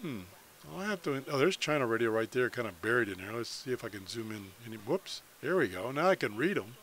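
A man's voice: medium pitch, sing-song, brisk, fairly bright tone. An American man giving a casual screen-share tutorial as a hobbyist, unscripted and thinking aloud as he goes. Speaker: amateur radio hobbyist demonstrating a website.

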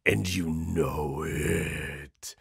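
A man playing a cocky, sarcastic werewolf outlaw in an audio roleplay, mocking and flippant with gravelly, hesitant asides. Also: a bass voice, fairly even intonation, extremely slow, very noisy, voice pushed down, exaggerated voice.

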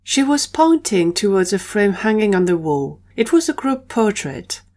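A woman's voice: medium pitch, very animated, moderate pace, mellow. Woman narrating an audio drama.

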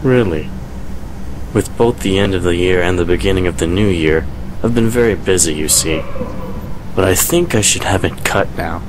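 Man acting as a deep, reserved, melancholy doctor. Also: background noise, close to an everyday voice. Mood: polite, reserved. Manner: measured, restrained, formal. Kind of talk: radio drama acting. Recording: music under the voice.

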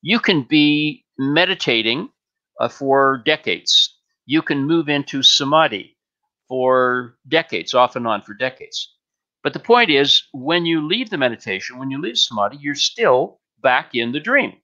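An older man explaining as a spiritual teacher, in measured, halting phrases with emphatic short statements, on a headset. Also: mid-range voice, lively intonation, pushed and bright. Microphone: headset on a video call. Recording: slightly dull online video-call audio.

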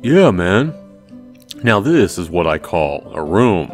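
A man's voice: sits low, sing-song, slow, soft background music, heavily acted. A man voicing a cocky, goofy teenage schoolboy from a visual novel, brash and teasing. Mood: eager, cocky. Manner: brash, teasing dude talk.

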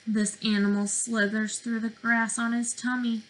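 A young adult woman reading interactively to small children as a teacher, expressive and sing-song, with questions and pauses. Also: on the high side, slight background noise, rough recording. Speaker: speech-language pathologist presenting to preschoolers. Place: bedroom, indoors.

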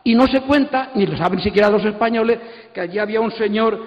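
A man's voice: high-pitched, fast. An older man giving an impassioned, emphatic, digressive talk on a conference stage into a handheld microphone. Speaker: panel speaker, comics artist. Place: auditorium stage. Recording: echoey hall PA, muffled.

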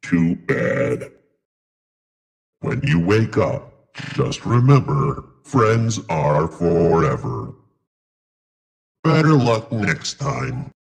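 An actor playing a mocking, sinister animatronic villain in a drawn-out, taunting manner. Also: male voice, fairly low pitch, sing-song, creaky, exaggerated voice.

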